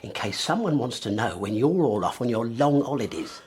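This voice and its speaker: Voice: medium pitch, sing-song, moderate pace, a little mellow, slightly rough. An actor playing a stubborn, self-important school caretaker in a radio comedy-drama, with a truculent, officious manner.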